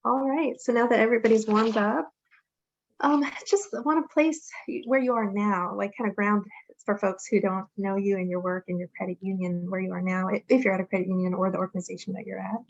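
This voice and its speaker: A woman's voice: medium pitch, fairly even intonation, a little fast, vocal fry. A woman moderating an online panel, giving brief prompts to each speaker. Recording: muffled sound.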